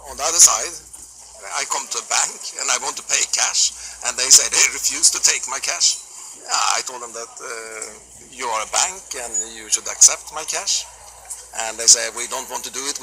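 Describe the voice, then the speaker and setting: A man's voice: mid-range voice, sharp tone, rough recording. A man, indignantly retelling a dispute in a street interview. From Sweden. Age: 50s.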